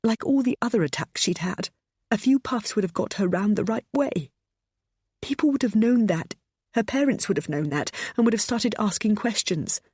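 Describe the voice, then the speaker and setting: A woman's voice: moderate pace, a little mellow, breathy edge, no echo, clean studio sound. Woman voicing a sobbing mother. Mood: distraught. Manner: high, pleading, breaking voice. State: tearful. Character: frightened middle-aged mother, sobbing confession.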